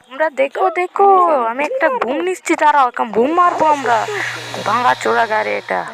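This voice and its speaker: A woman's voice: sits high, animated, fairly quick, vocal fry, a crowd in the background. A woman giving casual game commentary for small children, in a childlike, repetitive, exclaiming patter. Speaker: gameplay video host.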